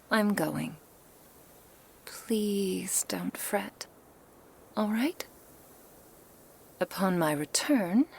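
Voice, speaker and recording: A possessive kitsune witch, acted by a woman murmurs in a breathy whisper for an ASMR roleplay. The voice sits fairly low and moves extremely slowly, with formal, tender phrasing over noticeable background ambience. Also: slight background noise, full character voice.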